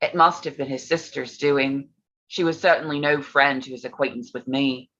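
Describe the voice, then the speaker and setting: A woman playing a gentle, earnest young gentlewoman in a period drama reading. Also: extremely low pitch, steady tone, moderate pace. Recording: dull lo-fi call audio.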